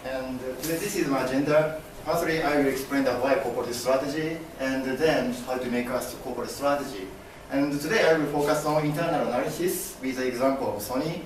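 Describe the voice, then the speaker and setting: A man's voice: medium pitch, far from the mic, a little hiss or hum. Young Japanese-accented man, echoey classroom. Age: young adult. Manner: halting, many fillers and restarts, gestures broadly. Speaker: MBA student presenter. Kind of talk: class presentation. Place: classroom with projector screen. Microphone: far camera.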